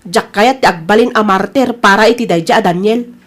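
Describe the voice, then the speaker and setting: A woman's voice: mid-range voice, fairly quick, lightly acted. A woman playing a defiant, fed-up young wife and mother in an audio drama, speaking firmly and reproachfully with an Ilocano accent. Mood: resolute.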